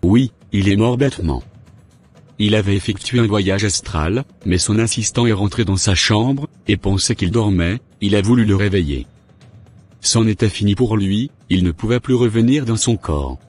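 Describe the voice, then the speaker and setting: Un homme jouant un sbire maléfique, voix grave. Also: male voice, fairly low pitch, normal speed, darkish tone, breathy edge, soft background music. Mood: matter-of-fact. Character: callous male henchman of evil spirits, giving orders. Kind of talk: dramatic animated dialogue.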